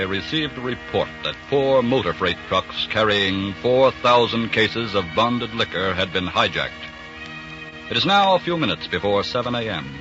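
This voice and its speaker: A man's voice: fairly low pitch, lightly acted. A man narrating a 1940s radio crime drama in a grave, factual scripted style. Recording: muffled old radio recording with music.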